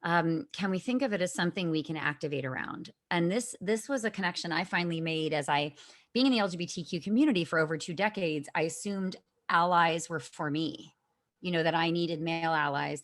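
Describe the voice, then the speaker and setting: A woman's voice: fairly low pitch, fairly quick, a bit bright, no echo, studio quality. A woman speaking as a guest in a conversational interview, thoughtful and earnest, with frequent fillers, heard over a video call.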